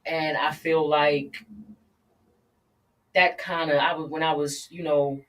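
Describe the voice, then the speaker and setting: A woman guest telling a personal story in an interview, earnest and halting with frequent restarts. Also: low-pitched, flat delivery, fairly bright tone, far from the mic, low-quality recording.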